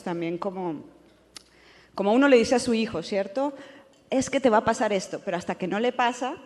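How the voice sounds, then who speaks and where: A woman's voice: medium pitch, darkish tone. Spanish accent lady on a panel, lots of ums, echoey hall. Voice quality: hesitant, many fillers. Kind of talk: panel discussion. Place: conference hall. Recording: long hall reverb.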